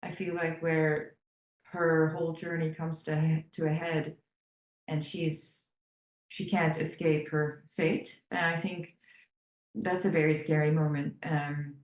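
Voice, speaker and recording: A woman's voice: sits low, level tone, takes it slowly, echoey room, rough recording. An actress whose first language is German, speaking English with a German accent and talking earnestly about her role in an interview. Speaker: actor. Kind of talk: press junket interview.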